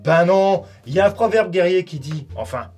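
A man plays a short-tempered medieval warrior who swings from fake friendliness to insults and shouting, his voice pitched high and bouncing all over. Light music hums faintly behind him in a stone cellar. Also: lively intonation, moderate pace. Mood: exasperated. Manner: mock-friendly, then sarcastic and insulting, shouting at times. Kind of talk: comedy sketch acting. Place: stone cellar set, indoors.

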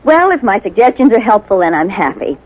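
An American woman reads a scripted radio advertisement in a medium-pitched voice. The vintage fifties recording sounds dull. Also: sing-song, fairly quick, husky. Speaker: advertising spokeswoman. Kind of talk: scripted 1950s radio commercial. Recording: muffled 1950s radio recording.

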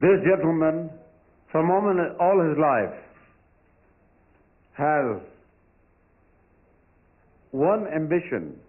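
A man at a banquet podium giving a slow, ceremonious introduction of a guest. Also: a little high, expressive. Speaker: banquet host. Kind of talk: formal introduction speech. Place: banquet hall. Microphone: podium microphone. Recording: tinny, distant hall recording.

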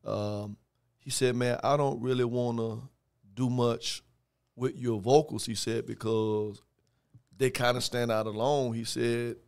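Raspy man, 30s, rapper guest; gravelly, resonant, measured studio talk. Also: medium pitch, fairly even intonation, a little mellow. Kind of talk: casual interview chat. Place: podcast studio. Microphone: headphones and studio mic on boom.

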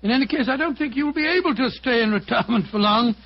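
An actor playing an earnest, blustering companion to a detective in an old-time radio drama. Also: male voice, fast. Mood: grumbling, glum. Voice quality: husky, high for a man. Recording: dull old radio recording.

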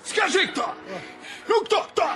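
An actor playing an exasperated, blustering ship's captain and fretful uncle in a radio play, speaking emphatically. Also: male voice, very high-pitched, animated, slow, exaggerated voice. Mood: angry, exasperated. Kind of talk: Russian radio play acting. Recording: loud music behind the voice.

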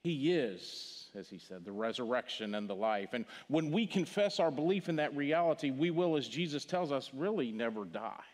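An older man from Missouri with a Missouri accent, preaching a funeral sermon in a church sanctuary, earnest, repeating words and dropping to a hush on key phrases. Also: mid-range voice, animated, moderate pace, hardly any pauses. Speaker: pastor. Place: large church sanctuary stage. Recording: long hall reverb from the church.

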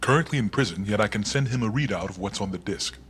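A man's voice: fairly low pitch, fast, a bit bright, breathy edge. A man playing a composed, wry fixer to a crime boss in a video game, speaking in terse, formal phrases. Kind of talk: cinematic video game acting.

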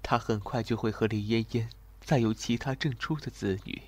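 A man's voice: mid-range voice, steady tone, moderate pace, a little mellow, lightly acted. A man playing a weary, reserved young general in an ancient Chinese audio drama, speaking formally and courteously. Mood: troubled, brooding.

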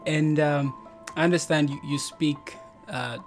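A man's voice: a little high, fairly even intonation, unhurried, music in the background. A young man hosting a Bible podcast over a video call on a headset, upbeat and encouraging. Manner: upbeat, encouraging, laughs often. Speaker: podcast host.

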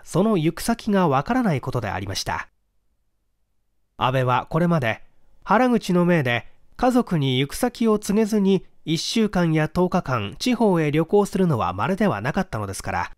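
A man narrating a detective story as an audiobook reader, in formal, measured literary prose. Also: fairly high pitch, no echo, studio quality.

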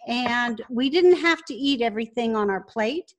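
Older woman with a somewhat high voice. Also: expressive, moderate pace. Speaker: podcast guest, business founder. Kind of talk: conversational interview, recalling and explaining.

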